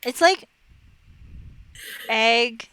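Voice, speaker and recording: Young woman question-asker, dry teasing humor, fairly high voice. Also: animated, extremely slow, bright, forceful tone, smooth. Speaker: podcast co-host asking questions. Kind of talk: casual chat.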